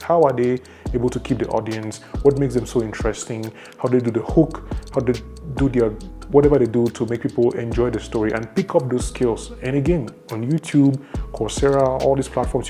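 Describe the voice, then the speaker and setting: A man's voice: mid-range voice, warm tone. A young adult man from Cameroon with a Cameroonian accent, giving numbered advice in a casual, conversational vlog. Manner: enthusiastic, conversational, lists numbered tips. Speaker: YouTuber.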